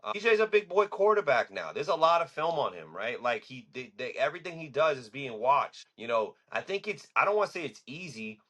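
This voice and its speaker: A man talks sports on a podcast in a bright, forceful voice. His delivery is loose and casual, full of fillers and slangy emphasis as he lays out his picks. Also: medium pitch, very animated, fairly quick, few pauses. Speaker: podcast co-host.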